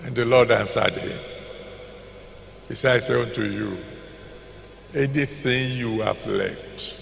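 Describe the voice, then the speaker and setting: An older man with a Nigerian accent preaching a sermon into a handheld mic in a large packed auditorium, slowly repeating scripture references and decreeing blessings. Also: mid-range voice, vocal fry, a bit bright, slight background noise. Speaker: pastor. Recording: echoey hall with long reverb.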